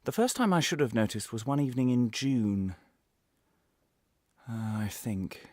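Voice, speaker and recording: A man performing a radio monologue as a defensive, wry prisoner recounting his arrest, halting and correcting himself with sudden emphasis. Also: medium pitch, lively intonation, a little mellow, dry recording, clean studio sound, natural voice. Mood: wry, hesitant. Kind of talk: dramatic radio monologue, confessional first-person narration.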